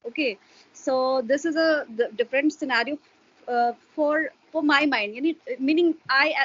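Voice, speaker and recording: A woman's voice: sits high, moderate pace, a bit bright, highs cut off. A woman, a Hindi speaker, explaining her views in an interview with a hesitant manner and many fillers. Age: adult parent. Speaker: interview guest. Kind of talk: casual explanatory interview talk.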